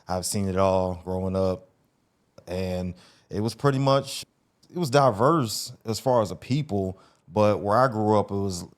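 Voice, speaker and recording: A man's voice: lowish voice, a little slow. A man in his forties from Las Vegas, a podcast guest talking casually and slangily about his life. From North Las Vegas, USA. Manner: laid-back storytelling, slangy, restarts phrases. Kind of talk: casual interview conversation.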